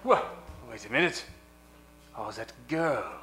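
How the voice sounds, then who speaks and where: A man's voice: mid-range voice, lively intonation, a little slow, pushed and bright. A man playing a scheming, pompous villain with a melodramatic delivery in a comic old-time radio play. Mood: brooding scheming. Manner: melodramatic, muttering then bursting out. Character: scheming, pompous male villain who runs a burlesque diner. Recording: echoey room, music behind.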